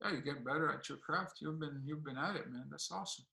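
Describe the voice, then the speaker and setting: A man's voice: a little high, quick pace, creaky, bright, forceful tone, echoey room. A man hosting a casual music interview, asking questions hesitantly with many fillers and encouraging his guest. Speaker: radio and blog host.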